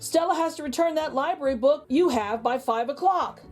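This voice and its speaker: A woman voicing a helpful young boy scout in a storybook, brisk and exclaiming. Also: sits high, a little mellow, faint music behind, pitched above the performer's own voice, lightly acted. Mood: urgent.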